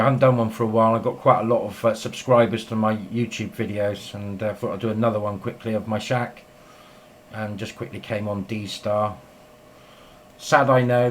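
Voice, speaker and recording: Casual English male hobbyist, slightly deep, informal and talkative, recorded on a handheld camera with light noise. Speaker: amateur radio operator filming a shack tour. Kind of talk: casual vlog chat. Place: small indoor radio shack at home. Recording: faint noise, home radio shack camera.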